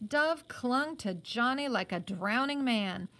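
Higher-voiced woman reading a novel aloud. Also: lively intonation, takes it slowly, pushed and bright. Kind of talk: novel read-aloud with explanatory asides.

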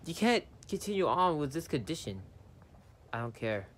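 A man's voice: fairly high pitch, very animated, a little hiss or hum. A man voicing plush-toy characters in plain, everyday conversation. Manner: slow, plain conversational delivery. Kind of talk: amateur plush-toy drama dialogue.

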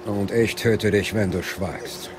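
An actor playing a cold, controlled assassin making terse threats in a video game cutscene. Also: male voice, fairly low pitch, fairly even intonation, slow pace, noisy background. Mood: cold menace. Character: cold, menacing male assassin interrogating a captive.

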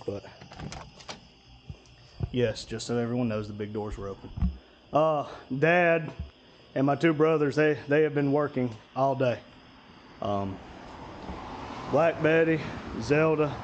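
A man's voice: medium pitch, a little mellow, slight background noise. Man, 30s, casual folksy vlogger; slightly muffled action-cam sound. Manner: easygoing, drawling, folksy. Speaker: channel host. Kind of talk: casual walkthrough vlog. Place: large garage service bay. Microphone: action camera.

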